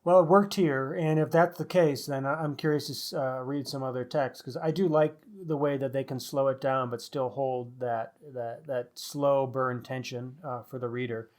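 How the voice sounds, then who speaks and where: Male co-host for a literary chat, average pitch, candid, reasoning out loud in an informal conversational style. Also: steady tone, moderate pace, few pauses. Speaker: podcast co-host. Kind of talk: conversational book discussion.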